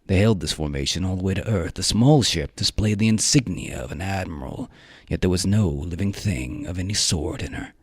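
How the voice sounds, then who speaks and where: A man narrating a live radio drama in a theatrical manner, with measured pauses and a musical score behind him. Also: sits low, breathy edge, dry recording, studio quality.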